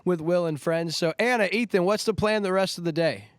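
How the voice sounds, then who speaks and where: A man's voice: high-pitched, fairly quick, clear, resonant tone, no echo, studio quality. A man speaking as a show host in casual, chatty conversation. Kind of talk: casual chat.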